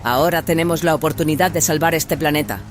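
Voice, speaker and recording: A man voicing an awed, earnest soldier in an audio drama, speaking with hushed urgency. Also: a little high, fast talker, soft background music, voice pushed up, natural voice. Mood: earnest excitement.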